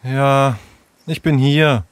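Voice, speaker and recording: A man's voice: medium pitch, fairly even intonation, extremely slow, clear, resonant tone, no echo, pitched above the performer's own voice. A man voicing a hurt, self-doubting schoolboy in a children's story, hesitant and subdued. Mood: sheepish.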